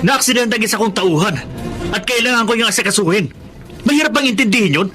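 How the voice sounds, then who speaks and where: A man's voice: high voice, expressive, quick pace. An actor playing an exasperated, wronged husband in a radio drama, strained and emotional as he pleads his side. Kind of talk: Tagalog radio drama acting.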